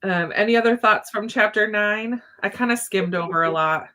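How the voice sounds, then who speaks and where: A woman's voice: medium pitch, normal speed, sharp tone. A woman hosting a casual book discussion, chatting informally and often laughing through her words.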